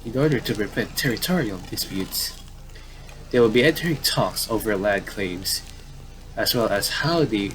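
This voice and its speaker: Male gaming commentator, lowish pitch, informal read-aloud style with stumbles and repeats, slightly noisy recording. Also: expressive, moderate pace, fairly bright tone. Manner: stumbles and repeats phrases while reading. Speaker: gaming commentator reading a visual novel aloud. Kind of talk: casual playthrough commentary mixed with reading dialogue aloud.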